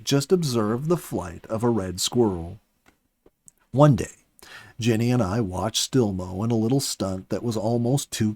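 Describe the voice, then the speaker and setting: A man reading a story aloud in an expressive, dramatic audiobook style, with emphatic swells and softer phrase endings. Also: medium pitch, dry recording. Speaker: story reader, host of a children's story program. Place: dry, close studio recording.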